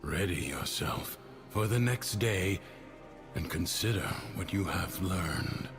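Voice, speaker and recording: An actor playing a calm, cryptic male mentor in a video game, speaking in a measured, solemn manner. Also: deep voice, full character voice. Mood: calm, explaining. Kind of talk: cinematic game dialogue. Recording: loud music behind the voice.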